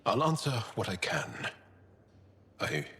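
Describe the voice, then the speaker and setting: An actor playing a gentle, earnest male alien resistance fighter in a video game, speaking in a measured, solemn, heartfelt way with a deep, gravelly voice. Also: big pitch swings, long reverb tail, exaggerated voice. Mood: sorrowful. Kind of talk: video game cutscene acting.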